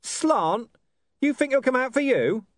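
A stern watch commander, gruff and probing, who keeps pressing and won't let go, as a man voices him in a storybook reading. His pitch moves around a lot, and the sound is close and dry. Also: big pitch swings, measured pace, no room sound, exaggerated voice.

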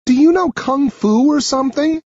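A man voicing a spirited, excitable teenage girl in an audiobook, speaking emphatically. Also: very high-pitched, normal speed, no room sound, lo-fi sound, voice pushed up. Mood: amazed. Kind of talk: audiobook character dialogue.

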